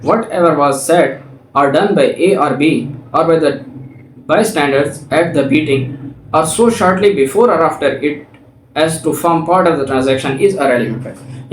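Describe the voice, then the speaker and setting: A man's voice: on the high side, a little hiss or hum. A Pakistani man giving an explanatory law lecture, reading formal text aloud and then methodically rephrasing it, mixing in English terms. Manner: methodical, repeats and rephrases points, switches into English legal terms. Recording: echoey room, distant microphone.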